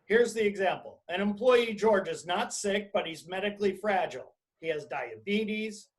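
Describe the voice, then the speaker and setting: A man giving an explanatory webinar presentation as an attorney, speaking deliberately and emphatically in short phrases over a video call. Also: sits high, expressive. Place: indoors, on a video conference call.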